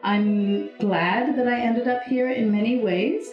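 A woman's voice: fairly high pitch, roomy sound, dull audio, faint music behind. A middle-aged woman, a guest telling her story in a chatty interview. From Pakistan. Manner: fast, chatty, mixes Urdu and English. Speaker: guest, anthropology professor. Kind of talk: interview conversation.

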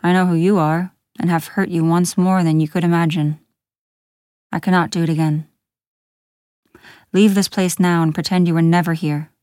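A woman voicing a towering, bitter man in a storybook, with a gruff growl and brusque, old-fashioned, foreboding speech. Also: fairly low pitch, flat delivery, normal speed, pauses often, darkish tone, dry recording, studio quality, lightly acted. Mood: sorrowful, stern.

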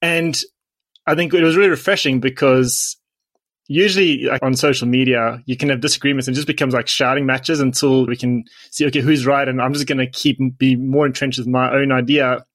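A man from South Africa speaks in a medium-pitched voice. He chats as an interviewer, reflecting in a measured, agreeable manner before putting his questions. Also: fairly even intonation, fairly bright tone. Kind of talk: casual conversation.

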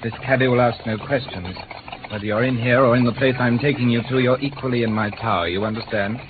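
An actor playing a smooth, polite English gentleman kidnapper in an old British radio play. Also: mid-range voice, fairly even intonation, fast, natural voice. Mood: cool menace. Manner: politely hesitant turning coolly threatening. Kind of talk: mid-20th-century British radio play acting. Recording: noisy, tinny old radio broadcast.

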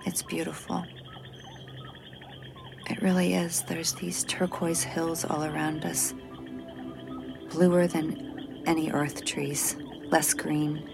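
A worn-down female mission officer stranded in space, earnest and protective of her crew. Her voice sits very low and breaks into creaky fry. It is an audio drama performance with music clearly underneath. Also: little pitch movement, takes it slowly, long pauses, exaggerated voice. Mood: subdued, uneasy. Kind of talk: audio drama acting, logged report monologue.

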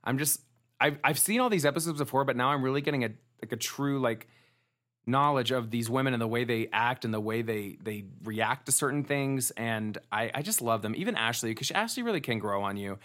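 A man's voice: medium pitch, fairly quick, fairly bright tone. A man co-hosting a casual podcast, recapping a reality show in a chatty way with many fillers and restarts. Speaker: podcast co-host.

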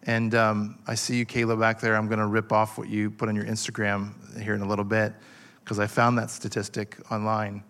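A man in his forties preaching a passionate sermon, halting with fillers and restarts as he builds his point. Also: fairly low pitch, completely flat delivery, a bit bright. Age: 40s. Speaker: pastor.

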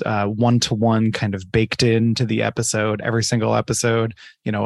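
A man's voice: on the low side, dry recording, studio quality. A man giving casual explanations as an interview guest from a creative services team.

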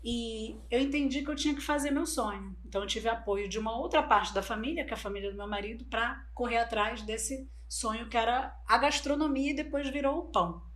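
A woman's voice: on the high side, fairly quick, few pauses. A middle-aged woman born in Brazil, a native Spanish speaker, chatting as a guest in an interview and telling her story at length. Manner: chatty storyteller, often adds "né". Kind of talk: casual interview chat.

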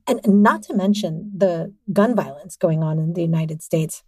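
A woman hosting her own podcast, talking alone in an upbeat, chatty way, with a slightly raspy voice and frequent laughs. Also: lowish voice, moderate pace, warm tone, dry recording. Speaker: podcast host. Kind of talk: solo podcast monologue.

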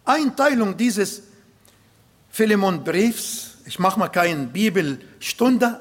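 A man preaching a sermon in German with an Arabic accent, non-native grammar and emphatic, slowly built phrases. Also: high voice, slightly rough. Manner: emphatic, slow, swells louder on key points.

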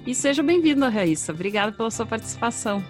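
A woman's voice: a little high, animated, fast, soft background music. A woman with a Brazilian accent, co-hosting a podcast as presenter and interviewer. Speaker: podcast co-host.

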